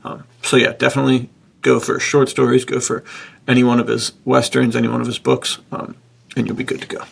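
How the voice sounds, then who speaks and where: A man's voice: medium pitch, flat delivery, a little fast, creaky, breathy edge. A man hosting a podcast in a casual, enthusiastic, effusive conversational style. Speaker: podcast host.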